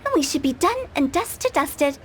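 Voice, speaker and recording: A woman's voice: high-pitched, very animated, normal speed, noisy background. A woman voicing a mischievous, self-assured young female funeral parlor director in a game cutscene. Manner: cheeky, self-assured, playful.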